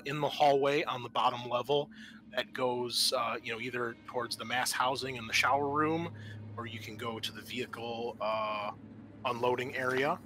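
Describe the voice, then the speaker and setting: Guy game mastering, bright voice, talks casual and halting, bit of background noise. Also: mid-range voice. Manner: halting, conversational. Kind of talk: improvised tabletop game narration.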